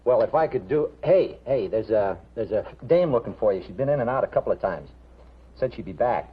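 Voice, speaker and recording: An actor playing a chatty, droll American diner counterman, husky and slangy, in a 1950s television drama. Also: fairly low pitch, animated, fairly quick. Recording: muffled 1950s television soundtrack.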